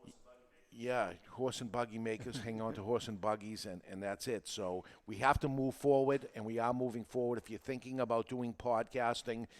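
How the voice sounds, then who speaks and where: A man's voice: medium pitch, steady tone, normal speed. Emphatic male podcast host. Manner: emphatic, repetitive, rhetorical questions. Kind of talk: informal talk show monologue, explaining and persuading.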